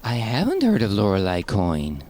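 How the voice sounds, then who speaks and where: A man's voice: fairly low pitch, huge pitch swings, moderate pace, background noise. A man hosting a comic podcast interview in a casual, wry way, playing along with the bit. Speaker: podcast host.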